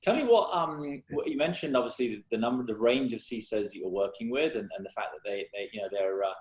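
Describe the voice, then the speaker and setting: A man's voice: medium pitch, lively intonation, fast talker, echoey room. A man moderating a webinar panel, introducing a guest and asking loose, informal questions with many fillers.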